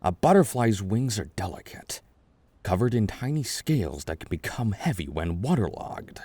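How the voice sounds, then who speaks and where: A man voicing a gentle, eccentric older chess king in an ASMR roleplay, reassuring and rambling in a halting way. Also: lowish voice, sing-song, slightly rough, no room sound. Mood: musing, fascinated.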